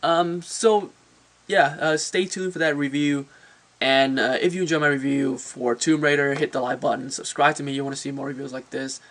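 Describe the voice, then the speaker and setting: A man's voice: medium pitch, steady tone, normal speed, a bit bright. A man giving a casual, opinionated movie review as a channel host, talking informally and often repeating words as he searches for phrasing.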